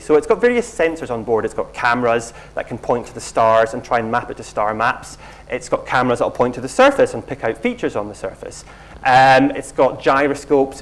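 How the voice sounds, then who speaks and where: A middle-aged man from Scotland giving a conference keynote in a large lecture hall, explaining in a relaxed way with frequent ums and restarts. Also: medium pitch. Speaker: keynote speaker.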